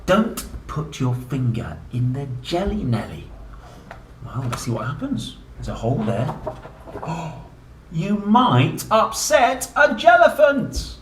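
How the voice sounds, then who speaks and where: A man's voice: fairly high pitch, wildly swooping pitch, slow pace. A man reading a picture book aloud to young children in a playful, theatrical way, exclaiming and putting questions to the listener. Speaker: children's book reader and host. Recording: roomy, distant mic, clearly noisy.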